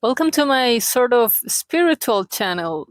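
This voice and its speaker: A woman's voice: a little high, big pitch swings, unhurried, no echo, clean studio sound. A woman from Chile with a Chilean Spanish accent speaks English as a second language in a casual, unscripted vlog, searching for words in a hesitant, self-deprecating way with little joking asides. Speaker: vlogger, aspiring cartoonist and illustrator. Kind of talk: casual unscripted confessional chat.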